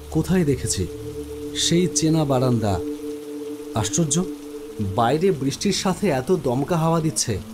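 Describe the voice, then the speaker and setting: A man giving dramatic first-person narration of a horror story. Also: a little high. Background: music.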